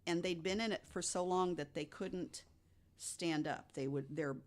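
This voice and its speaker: A woman's voice: lowish voice, a little slow. A woman on a podcast panel recounting a story in casual, conversational speech.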